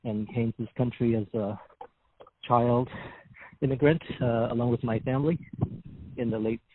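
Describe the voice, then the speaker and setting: A man in his fifties from Taiwan, a visiting scholar, answering an interview in a measured way with frequent pauses. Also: mid-range voice, fairly even intonation. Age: 50s. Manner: measured, pausing often. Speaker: visiting religious studies scholar. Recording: tinny phone-like line.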